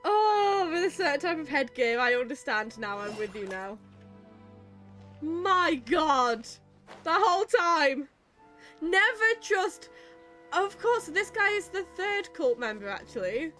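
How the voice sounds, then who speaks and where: A high-voiced British woman chats casually through a video game. Her delivery is animated and exasperated, with a pitch that swoops around and some mild swearing. Music plays softly behind her. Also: slow. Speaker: video game streamer playing and reacting. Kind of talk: casual chat, let's-play commentary.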